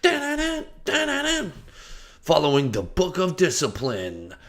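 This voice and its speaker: A man's voice: fairly high pitch, huge pitch swings, measured pace, vocal fry, fairly bright tone. A man streaming casual commentary, joking and rambling, often trailing off, restarting and scatting nonsense syllables. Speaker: streamer reacting to a video.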